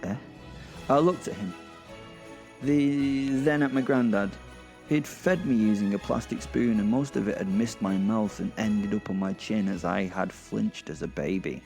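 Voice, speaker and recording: A vengeful werewolf tells his own tale, played by a British man in a horror-comedy audio drama. His British voice is low and creaky, and he rambles with sweary, sardonic menace. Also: a little slow, a little mellow, soft background music. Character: sardonic, vengeful, foul-mouthed male werewolf narrator.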